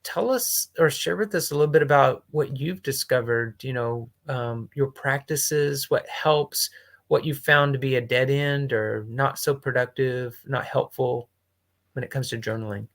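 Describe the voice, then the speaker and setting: A man's voice: mid-range voice, a little slow, vocal fry, a bit bright. A man hosting a casual conversation, thinking aloud in a reflective way as he puts his thoughts together. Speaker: host.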